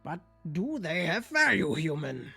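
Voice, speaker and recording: Brief: haughty male cat major for a visual novel, played by a man, lively swooping delivery, impatient, music underneath. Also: a little high, measured pace, gravelly, husky, pitched above the performer's own voice, full character voice. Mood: demanding. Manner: pompous, condescending. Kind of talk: visual novel character read aloud. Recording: music behind the voice.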